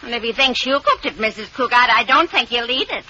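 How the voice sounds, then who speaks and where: An actress playing a chatty, deadpan housemaid in a 1940s radio sitcom. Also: female voice, a little high, a little fast, a little hiss or hum. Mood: gossipy. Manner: gossipy, deadpan. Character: chatty older female housemaid Katie. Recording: muffled old radio broadcast.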